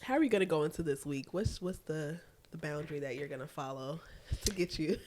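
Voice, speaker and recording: A woman, talking candidly as a podcast co-host. Her voice sits a little low and sounds congested. She swears freely and laughs often. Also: a little fast, darkish tone, a little hiss or hum. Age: 30s. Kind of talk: casual chat.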